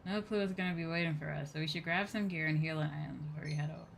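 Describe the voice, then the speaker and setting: A woman's voice: sits low, rapid-fire, creaky. Woman streamer chatting casually, noisy background. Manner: informal, exclamatory, thinks aloud. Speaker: streamer playing a video game. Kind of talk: casual live-stream chat with viewers.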